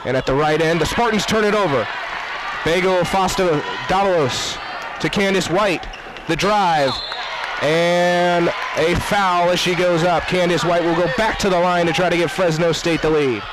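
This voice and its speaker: A man's voice: high-pitched, moderate pace. Young male basketball announcer over crowd noise. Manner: clipped running phrases, raising his voice on key plays. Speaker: play-by-play announcer. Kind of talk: live basketball play-by-play commentary. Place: courtside in a basketball gym. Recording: noisy gym with loud crowd behind. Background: crowd, bouncing basketballs.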